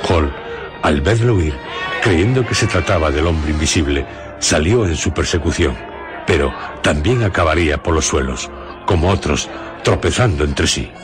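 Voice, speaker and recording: A man narrating a radio drama in a scripted, measured storytelling style. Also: a bass voice, lively intonation. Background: music.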